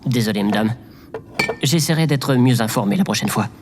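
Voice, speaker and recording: A man's voice: medium pitch, normal speed, lightly acted. A man playing a timid, devoted and awkward presidential assistant in an audio drama, deferential and easily flustered, prone to stammering. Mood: sheepish. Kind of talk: scripted audio drama acting. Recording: long reverb, clear background noise.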